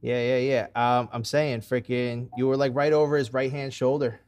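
A man's voice: mid-range voice, flat delivery, moderate pace, darkish tone. A young man hosting a casual podcast on a headset from his room at home, rambling with restarts and casual curses. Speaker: podcast host. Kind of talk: casual chat. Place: home room with red lights on video call.

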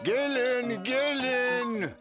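A teasing bull who runs a fairground game in a kids' cartoon. He hawks slowly in a high, sing-song voice over clear music. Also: heavily acted. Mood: hawking. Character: cheeky bull fairground showman, blunt and teasing. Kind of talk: children's cartoon dubbing.

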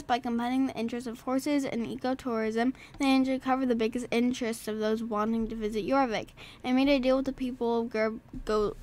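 A woman's voice: on the high side, natural voice. A woman reading aloud the lines of a harried adult male innkeeper in a game, in her own voice.

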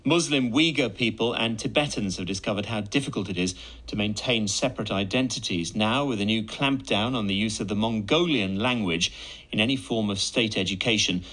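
A man presenting broadcast news, introducing the story and asking the guest pointed questions in a measured way. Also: on the low side, fairly bright tone, rough recording.

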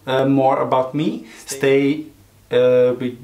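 A man of about forty with a Serbian accent, chatting casually to camera in halting non-native English. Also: mid-range voice, little pitch movement, mellow. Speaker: beauty vlogger, hairdresser and drag queen. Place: small room indoors. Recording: roomy, echoing home recording.

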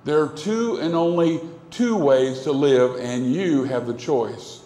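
A man's voice: medium pitch, slow pace, warm tone. A middle-aged man preaching a sermon at a pulpit microphone in a church, earnest and repetitive, with broad gestures. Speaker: pastor. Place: church sanctuary, indoors. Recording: echoey hall from a far camera.

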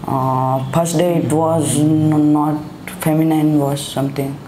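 A seventeen-year-old young man with an Indian accent and a masculine voice, giving halting interview answers. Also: flat delivery, mellow. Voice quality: deep, masculine. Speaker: voice therapy patient. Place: small indoor clinic studio. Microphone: lapel mic. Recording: echoey room with background noise.

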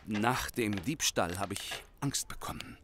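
An actor playing a nervous, desperate young thief in a radio play, anxious, stammering and breathlessly pleading. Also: male voice, fairly low pitch, a little slow, sharp tone, a little hiss or hum. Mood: shaken, confessing. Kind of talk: German radio play acting.

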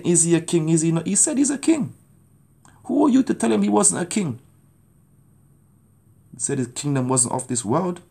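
Fervent gravelly Jamaican-born preacher. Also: male voice, on the high side, a little mellow. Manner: fervent, urgent pleading. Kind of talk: testimony and street preaching to camera. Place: small indoor room at home. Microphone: phone camera at arm's length.

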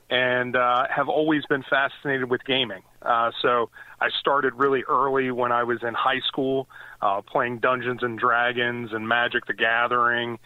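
A man's voice: medium pitch, no echo. A man in his forties from the US East Coast, a guest author chatting casually in an interview and rambling with lots of fillers and restarts. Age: 40s. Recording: dry tinny phone-like line.

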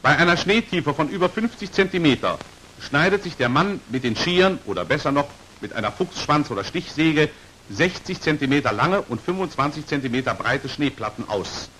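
A man's voice: on the high side, animated, normal speed, pushed and bright, poor audio. A man narrating an old 1940s instructional film in a precise, formal manner, on an aged film soundtrack. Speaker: narrator of a military training film. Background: hiss.

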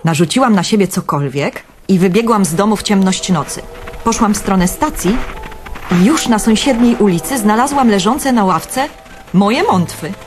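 A woman's voice: mid-range voice, lively intonation, normal speed, soft background music, close to an everyday voice. An actress playing a dreamy, wry young woman who narrates her own story in a radio drama. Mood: narrating.

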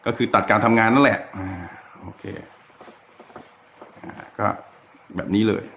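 A man's voice: on the low side, a little slow, a bit bright, a little hiss or hum. A man giving an informal, easygoing, hands-on tutorial in a chatty, unscripted way. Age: adult with a child. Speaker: DIY guitar electronics tutorial host. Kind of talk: casual hands-on demonstration talk.

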